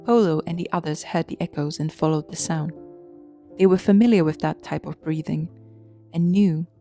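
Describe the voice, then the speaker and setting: A woman's voice: low voice, fairly even intonation, normal speed, mellow, soft background music. A woman narrating a scripted story in a storybook style.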